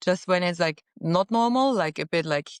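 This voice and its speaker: Casting: young woman, Austrian German accent, smooth clear tone, close dry sound, thoughtful casual guest. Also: lowish voice, expressive, moderate pace, dry recording. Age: young adult. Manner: reflective, frequent "like" and soft laughs. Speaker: podcast guest. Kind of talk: casual interview chat. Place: apartment on a high floor.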